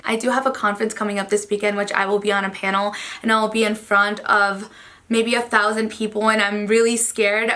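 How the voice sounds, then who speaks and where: A woman's voice: medium pitch, pushed and bright. A young woman, Spanish as her first language, chatting earnestly to camera about herself in a casual vlog, with frequent "like" fillers. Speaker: beauty vlogger.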